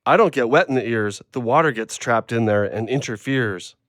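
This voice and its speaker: A man's voice: mid-range voice, moderate pace, a bit bright, no echo, clean studio sound, close to an everyday voice. A man playing a blunt, guarded, literal-minded dog owner in an audio drama, with an abrupt manner.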